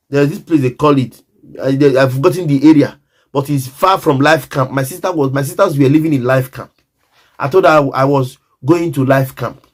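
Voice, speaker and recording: A Nigerian man telling a personal story in a casual monologue, emphatic and repetitive, often speaking straight to the listener. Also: medium pitch.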